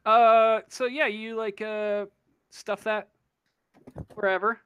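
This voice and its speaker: High-voiced man running a tabletop game, halting. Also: little pitch movement, a bit bright, clear, resonant tone. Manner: halting, informal, feels way through descriptions. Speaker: game master. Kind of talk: improvised tabletop game narration.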